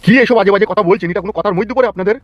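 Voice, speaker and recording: A man playing a distraught old village husband in a comic cartoon, complaining and pleading in drawn-out wails. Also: high-pitched, no room sound, close to an everyday voice. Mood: indignant pleading. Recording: dull lo-fi sound with music behind.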